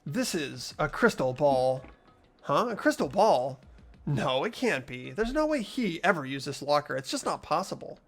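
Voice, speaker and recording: A person reading visual novel narration and dialogue expressively, voicing an earnest, anxious high school student. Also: sing-song, moderate pace, soft background music. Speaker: player reading a video game's lead role aloud.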